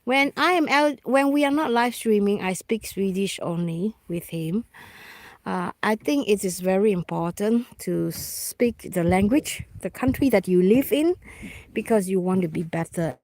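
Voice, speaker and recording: A woman from Singapore with a Singaporean accent, chatting casually on a live stream while fishing outdoors, halting with fillers and restarts. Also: medium pitch, darkish tone, no room sound. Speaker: vlogger.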